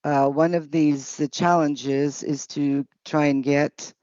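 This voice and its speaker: A woman's voice: sits low, fairly even intonation, slow, dark tone, no echo. A woman interpreting at a public hearing in short, hesitant, piecemeal phrases. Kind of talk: halting consecutive interpretation. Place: committee hearing. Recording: dull, muffled audio.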